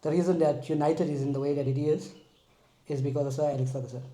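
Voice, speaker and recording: A man co-hosting a casual football podcast, arguing his points with lively energy and often restarting sentences. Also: on the high side, fast. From Manchester United supporter. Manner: animated, talks over others, trails off and restarts. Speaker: podcast co-host. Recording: echoey room.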